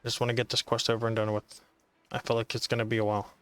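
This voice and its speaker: Casting: male gamer, mid-pitched, casual and sardonic running commentary, light music behind. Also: completely flat delivery, racing, a bit bright, clean tone, no echo.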